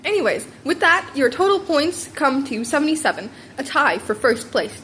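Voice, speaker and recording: A woman's voice: sits high, sing-song, normal speed, fairly bright tone, pitched above the performer's own voice. Big-hearted heroic mentor man played by a woman, chatty and emphatic, swooping delivery, audiobook with faint noise. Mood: jovial, congratulatory. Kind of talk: amateur fan fiction audiobook character.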